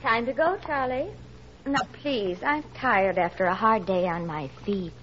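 Actress as a weary, stern librarian, noisy radio. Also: female voice, medium pitch, unhurried, a bit bright, lightly acted. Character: weary, stern but relenting woman librarian. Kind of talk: 1940s radio acting. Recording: muffled, noisy 1940s radio recording.

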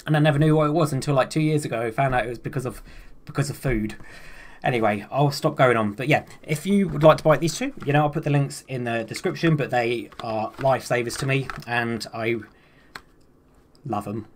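A man's voice: mid-range voice, a little fast. Young man, casual vlog chat, rambling, self-deprecating, small room, webcam. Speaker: vlogger. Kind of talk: casual talk to camera.

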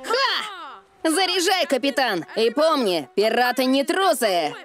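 A woman voicing an excitable, earnest little boy in a cartoon dub. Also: high voice, slow, music in the background, heavily acted. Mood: excited, eager.

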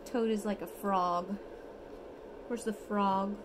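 A woman's voice: mid-range voice, fairly even intonation, slow pace, background noise. A woman reads a picture book aloud to a toddler in an encouraging, sing-song way, as a mother does. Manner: sing-song, encouraging child-directed speech. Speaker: mother reading a bedtime story. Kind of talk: read-aloud storytelling to a toddler. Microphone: phone at arm's length.

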